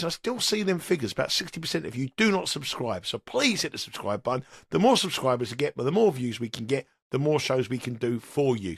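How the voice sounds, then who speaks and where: A man's voice: a little high, vocal fry, a bit bright, dry recording, clean studio sound. A man hosting a betting podcast in a chatty, rambling way, sounding nasal and sniffly from a cold. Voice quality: slightly sniffly. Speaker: podcast host. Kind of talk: casual betting chat.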